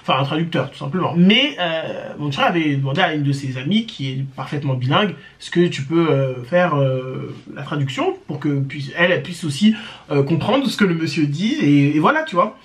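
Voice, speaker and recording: A woman's voice: low voice, animated, fairly quick. A woman telling a personal story to her viewers in a casual, chatty way, often hesitating with fillers and restarts. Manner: chatty, addresses viewers directly. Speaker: storytime vlogger.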